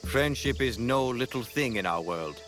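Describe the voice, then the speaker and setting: An actor playing a courteous, dignified samurai lord speaking formally in a video game drama. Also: male voice, medium pitch, animated, moderate pace, a bit bright, faint music behind, lightly acted. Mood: grateful, formal.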